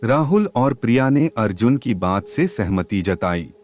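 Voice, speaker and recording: A man narrating a scripted children's story in a measured, expressive storybook manner. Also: mid-range voice, soft background music.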